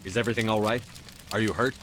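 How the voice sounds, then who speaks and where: Man voicing resolute military commander, sci-fi game, lowish pitch, firm and terse, clear background noise. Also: steady tone, quick pace, fairly bright tone, natural voice, low-quality recording. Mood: calm, questioning. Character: resolute male military commander in a space opera. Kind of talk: scripted video game acting.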